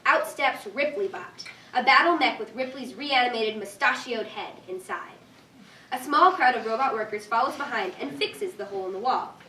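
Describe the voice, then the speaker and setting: A woman's voice: on the high side, moderate pace, pushed and bright. A woman reading scripted stage directions as narrator at a live staged reading. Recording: distant echoey room, faint noise.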